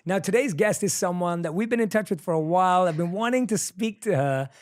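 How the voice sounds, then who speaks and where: A man's voice: high voice, fairly quick, no room sound, studio quality. A man with a British accent hosting a podcast, reading a guest intro and asking curious follow-up questions. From Britain. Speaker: podcast host. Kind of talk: podcast conversation.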